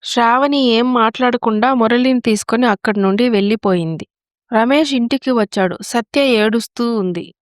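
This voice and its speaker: A woman's voice: on the high side, moderate pace, no echo, studio quality. A woman narrator telling a scripted moral story.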